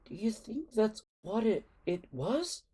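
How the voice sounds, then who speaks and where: A woman's voice: mid-range voice, sing-song, slow, mellow, breathy edge, pitched above the performer's own voice, heavily acted. A woman voicing an awkward, earnest young Viking boy who can turn indignant, in a storybook. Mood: shy.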